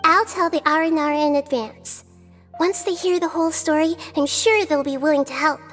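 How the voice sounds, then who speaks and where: A woman voicing a small, gentle, wise childlike goddess in a video game, composed and articulate, with a soft girlish voice. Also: high voice, fairly even intonation, moderate pace, soft background music. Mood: encouraging. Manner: composed, articulate, kindly explaining.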